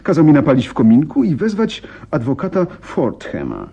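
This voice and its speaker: An actor in a radio drama playing a frightened, helpless young gentleman, telling his story hesitantly and dramatically, with pauses. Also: on the high side, wildly swooping pitch, vocal fry, breathy edge, lo-fi sound, heavily acted. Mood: uneasy, narrating.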